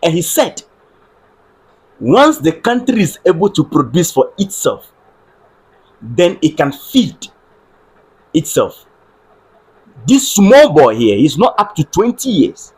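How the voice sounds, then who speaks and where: A man's voice: a little high, sing-song, slow pace, long pauses, darkish tone, slightly rough, rough recording. A man with a Ghanaian accent giving earnest political commentary on a live stream, repeating phrases and checking with viewers that his audio works. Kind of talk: solo livestream political commentary.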